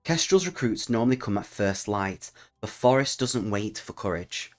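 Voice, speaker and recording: A man voicing an old woman who leads a forest guild in a dark fantasy story, dry, terse and unimpressed. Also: lowish voice, lively intonation, moderate pace, soft background music, close to an everyday voice. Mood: bored, dry.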